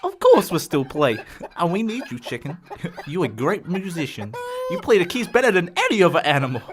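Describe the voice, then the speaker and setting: A man's voice: sits high, wildly swooping pitch, fairly quick. Man playing a jolly pig with swooping voice. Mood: persuasive. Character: jolly, blunt male pig drummer.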